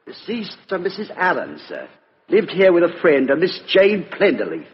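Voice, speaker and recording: Police sergeant: British, orderly and respectful, varied intonation, reverberant vintage radio drama. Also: male voice, sits high, huge pitch swings, moderate pace, exaggerated voice. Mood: dutiful. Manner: clipped, orderly reporting. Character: dutiful, deferential British police sergeant giving report. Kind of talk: 1950s radio drama acting. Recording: muffled, echoey 1950s radio recording.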